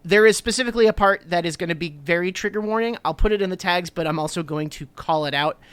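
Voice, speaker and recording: Enthusiastic man with a smooth voice. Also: high-pitched, fast, a bit bright, dry recording. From New Jersey, USA. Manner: enthusiastic, rambling with many fillers. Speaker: podcast co-host. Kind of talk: casual chat.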